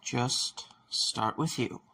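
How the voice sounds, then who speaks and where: A man playing a stubborn, wary but caring young man in a fan audio drama, with a husky, gravelly voice. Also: very slow, pushed and bright, no echo. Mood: wary, gentle.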